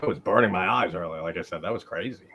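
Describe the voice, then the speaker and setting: A man's voice: low voice, fast, muffled recording, slight background noise. A man from Long Island, chatting loosely and swearing casually. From Long Island, New York. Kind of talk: casual chat.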